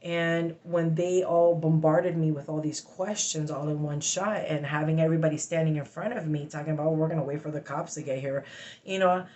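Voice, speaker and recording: Agitated, halting woman venting, slightly low voice. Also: a little mellow. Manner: blunt, sweary, halting speech with sudden involuntary vocal tic outbursts of "wow"; agitated, emphatic. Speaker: vlogger telling a personal story. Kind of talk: casual, venting storytelling.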